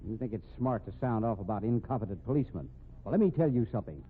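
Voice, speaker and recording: An actor with an American accent playing a gruff, irritable middle-aged police captain who grumbles bluntly and sardonically in a 1950s radio drama. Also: male voice, lowish voice, animated, fast, narrow, tinny audio, natural voice. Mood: challenging. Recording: thin, noisy 1950s radio recording.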